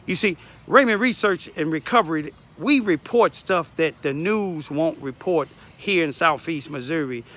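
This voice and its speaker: A man's voice: on the high side, animated, normal speed, hardly any pauses, a bit bright. An older man giving informal, emphatic commentary outdoors into a phone, repeating himself for emphasis. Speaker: local citizen reporter. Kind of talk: informal selfie vlog commentary. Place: outdoors in a backyard. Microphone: phone at arm's length.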